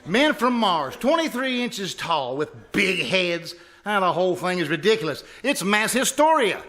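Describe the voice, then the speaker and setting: A man's voice: high voice, sing-song, normal speed, fairly bright tone. A man playing a stubborn, cocksure husband in a 1950s-style radio comedy, folksy, emphatic and scoffing. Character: blustering, stubborn, cocksure husband full of malapropisms. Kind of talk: 1950s radio comedy acting, live re-enactment.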